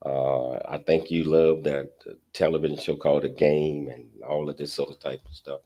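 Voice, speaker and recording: American guy hosting a talk show, super low dark husky voice, rambles and repeats himself. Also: little pitch movement, lo-fi sound. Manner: halting, rambling delivery with repeated words.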